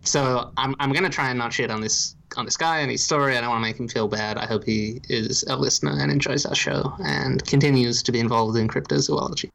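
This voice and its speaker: A man from Ireland with no marked accent, co-hosting a podcast and calmly laying out his reasoning in a dry, deliberate way. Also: mid-range voice, creaky, poor audio. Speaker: podcast co-host. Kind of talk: casual podcast chat.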